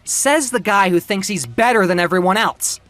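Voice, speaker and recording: A man playing an angry, domineering former friend in an audio comic drama, scolding and forceful. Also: high-pitched, moderate pace, no echo, soft background music, pitched above the performer's own voice. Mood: angry, scornful.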